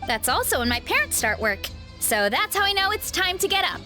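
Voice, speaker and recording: A woman's voice: extremely high pitch, sing-song, a little fast, bright, forceful tone, faint music behind, heavily acted. A woman playing a cheerful, modest young orchard girl and trainee athlete in a video game, friendly and self-effacing. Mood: friendly, chatty. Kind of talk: video game character acting.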